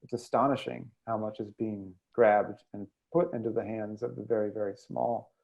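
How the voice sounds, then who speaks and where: An American man speaking as a guest in an interview, reflective and hesitant, often pausing mid-sentence as he thinks. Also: fairly low pitch, little pitch movement, creaky, muffled recording. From United States. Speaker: guest poet and editor. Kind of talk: thoughtful interview conversation.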